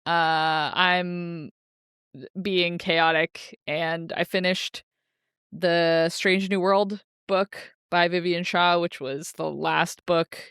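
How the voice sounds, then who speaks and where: A woman's voice: fairly low pitch, flat delivery, extremely slow, a bit bright, clear, resonant tone, no room sound, studio quality. A woman co-hosting a podcast, chatting casually about books in a playful, jokey manner. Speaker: podcast co-host. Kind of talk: casual book discussion chat.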